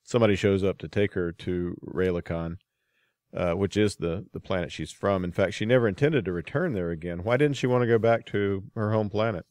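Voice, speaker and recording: A man with a fairly low voice hosts a conversational podcast interview. He feeds prompts a little haltingly, and the sound is dry with almost no room echo. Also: a little mellow.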